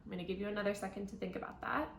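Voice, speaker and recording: A woman teacher reading a children's nonfiction book aloud, thinking out loud and guiding young listeners as she goes. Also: mid-range voice, fairly even intonation, very fast, creaky. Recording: roomy echo, audible background noise.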